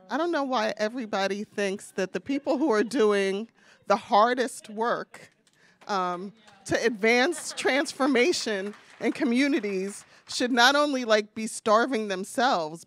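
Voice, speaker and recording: A woman's voice: mid-range voice, dry recording. Candid woman moderating a panel, dry sound. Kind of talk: conversational panel discussion.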